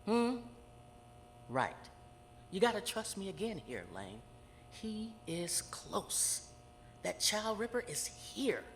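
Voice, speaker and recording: An actress playing a grave, self-assured psychic who works with murder investigators in an audio horror drama. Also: female voice, medium pitch, big pitch swings, slow, long pauses. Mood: urgent, pleading. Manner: grave, insistent, dry retorts. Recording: very reverberant, with noise behind.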